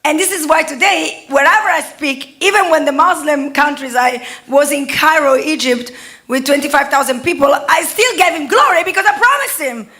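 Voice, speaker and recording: High, bright Italian woman preaching. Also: normal speed. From Italy. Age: 40s. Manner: lively storytelling, laughs often. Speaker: guest preacher. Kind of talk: personal testimony sermon. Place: church service.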